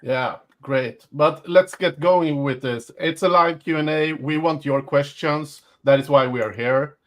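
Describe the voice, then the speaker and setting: A man's voice: on the high side, a little slow. A man hosting a live webinar Q&A, talking with many hesitations and fillers. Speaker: host.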